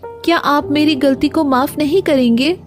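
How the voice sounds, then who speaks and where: An earnest, affectionate village wife from a cartoon moral tale, played by a woman. The voice sits high. She is polite and humble in her delivery, with faint music underneath. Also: unhurried. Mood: pleading, apologetic. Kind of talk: animated moral story dialogue.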